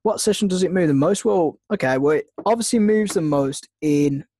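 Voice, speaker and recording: A man's voice: sits high, moderate pace, warm tone, no room sound, studio quality. A man with a slight cold, casually teaching a trading webinar, rambling with lots of ums and restarts. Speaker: trading mentor hosting a webinar. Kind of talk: casual screen-share teaching.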